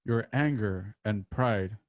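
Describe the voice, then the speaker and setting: An actor playing a stern, stoic warrior mentor in a radio drama, speaking solemnly in terse, measured phrases. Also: male voice, on the low side, no echo. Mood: stern, dismissive.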